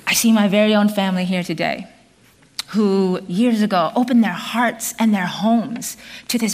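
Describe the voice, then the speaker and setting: A middle-aged woman born in South Korea, preaching a sermon to a congregation in an earnest, measured way, with some fillers and restarts. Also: medium pitch.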